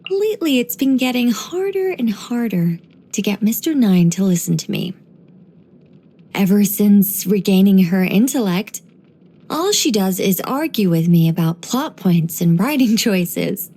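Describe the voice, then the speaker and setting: A woman voicing a gentle, wry former angel turned human storyteller in a video game, musing and lightly teasing in an unhurried way. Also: medium pitch, expressive, husky. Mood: teasing, confiding. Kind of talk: scripted video game character voice lines.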